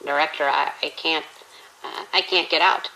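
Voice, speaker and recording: A man playing a terrified, trapped hospital worker in an audio drama, stammering and halting with fear. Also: on the high side, fairly even intonation, vocal fry, bright, forceful tone, exaggerated voice, low-quality recording.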